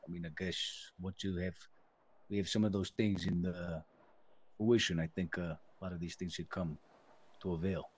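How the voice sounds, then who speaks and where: A man's voice: low-pitched, fairly even intonation, moderate pace. A man playing a self-important mafia associate in an improvised crime game, using formal, businesslike wording. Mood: measured, advising. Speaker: roleplay player. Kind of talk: improvised in-character crime roleplay.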